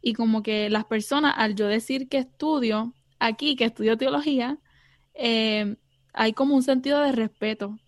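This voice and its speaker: A woman's voice: a little high, moderate pace, no room sound, studio quality. A young Puerto Rican woman, a theology student, answering interview questions earnestly with many fillers and some English words. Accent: Puerto Rican Spanish. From Puerto Rico.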